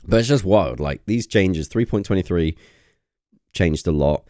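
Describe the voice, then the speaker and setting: A man's voice: low voice, lively intonation, measured pace, dark tone, no echo, studio quality. A man casually talking about a game update on his channel, enthusiastic and rambling with lots of fillers, his voice getting a little worn. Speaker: gaming channel host. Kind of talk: casual vlog commentary.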